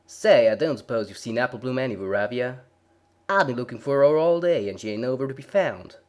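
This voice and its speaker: A folksy cartoon farm mare, acted out by a man, speaks. The delivery is loud, rustic and friendly, as in a storybook reading. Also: medium pitch, lively intonation, a little fast, close to an everyday voice. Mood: fretful. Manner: folksy, drawling rustic dialect.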